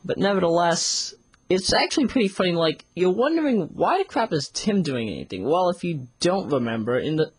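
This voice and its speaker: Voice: medium pitch, sing-song, brisk, husky, no room sound. A reviewer giving a casual spoken audio review, rambling and often repeating phrases to restart. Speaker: series reviewer.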